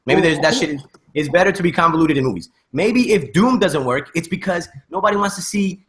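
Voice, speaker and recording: A man talks rapidly in a fairly high voice as a panelist in a casual debate. He is persuasive and informal, building his argument one point after another. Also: animated, a bit bright. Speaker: podcast panelist.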